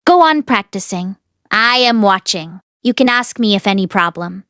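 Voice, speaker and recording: A woman's voice: mid-range voice, animated, normal speed, fairly bright tone, clean tone, dry recording, natural voice. Woman voicing a pampered, pettish young master. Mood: haughty. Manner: pettish, lordly. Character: pampered, pettish young man, haughty senior brother.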